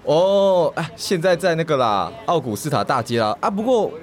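A man's voice: on the high side, sing-song, moderate pace. A man with a Taiwanese accent co-hosting a radio show with casual banter, self-deprecating and playfully dramatic. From Keelung, Taiwan.

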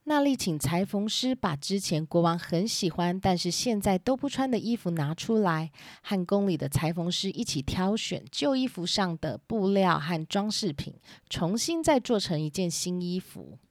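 A woman's voice: lowish voice, expressive, moderate pace, few pauses, no room sound, clean studio sound. A woman narrating a scripted children's story as its storyteller. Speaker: storyteller and host.

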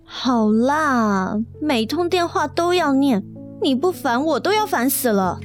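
A woman's voice: sits high, lively intonation, unhurried, soft background music. A woman acting an eager, bashful young office employee in a radio drama. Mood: bashful, grumbling. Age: young adult.

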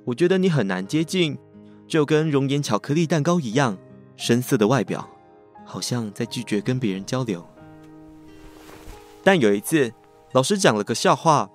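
A man's voice: medium pitch, lightly acted. A man playing a cheerful, persistent teenage schoolboy with a crush in a radio drama, speaking with a Taiwanese accent in a playful, earnest way. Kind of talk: Mandarin boys' love radio drama acting.

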